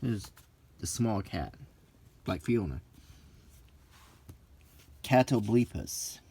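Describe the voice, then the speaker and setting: A man's voice: fairly low pitch, very animated, extremely slow, vocal fry, warm tone, slightly rough. A middle-aged man chatting casually and unscripted as he browses books, with nostalgic, mildly grumbling asides. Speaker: vlogger flipping through game books. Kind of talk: casual unscripted chat, unintentional ASMR.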